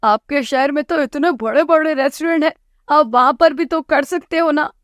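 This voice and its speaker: A woman's voice: sits high, normal speed, dry recording, clean studio sound, close to an everyday voice. A woman voicing a humble, kind-hearted village eatery owner, earnest and polite, in a cartoon story. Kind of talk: Hindi cartoon story acting.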